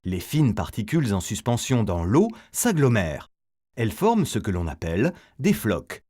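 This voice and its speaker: A man's voice: fairly low pitch, very animated, moderate pace, warm tone, breathy edge. A man reading a scripted educational narration as the narrator of an explainer film, setting things out in a didactic, step-by-step way.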